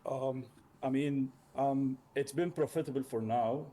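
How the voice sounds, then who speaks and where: A man from Lebanon, speaking haltingly and casually as a learner in a mentoring chat. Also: mid-range voice, fairly even intonation, mellow. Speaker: learner trader, mentee.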